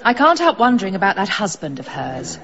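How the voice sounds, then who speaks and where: An actress playing a gushing, gossipy hotel guest in a radio drama, with an insinuating way of talking. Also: female voice, medium pitch, big pitch swings, fairly quick, fairly bright tone. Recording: slightly dull recording.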